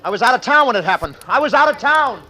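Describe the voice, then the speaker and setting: An actor playing a brooding, guilty and slightly unhinged man in a halting, dramatic 1940s radio style. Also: extremely high pitch, big pitch swings, loud music behind the voice. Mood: agitated. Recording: noisy old radio recording.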